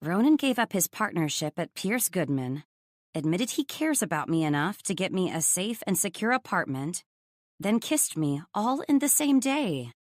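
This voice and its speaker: A woman's voice: lowish voice, very animated, moderate pace, no room sound, studio quality. A woman reading first-person romance audiobook narration from a script.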